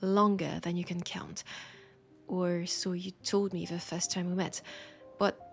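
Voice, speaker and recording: A woman with a French accent playing a warm, teasing, caring bookseller in an intimate roleplay audio, speaking softly and hesitantly with pauses. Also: lowish voice, little pitch movement, gravelly, faint music behind. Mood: worried, tender. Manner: soft, hesitant, gently teasing, unhurried with pauses. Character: warm, teasing, worried mortal bookseller woman, fond of a vampire.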